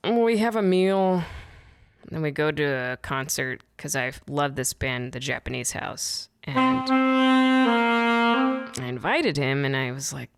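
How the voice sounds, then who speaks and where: A woman comedian guest with a deep voice, telling a rambling, giggly story in casual chat. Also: big pitch swings, takes it slowly, clear, resonant tone, faint music behind. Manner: giggly, rambling, self-correcting.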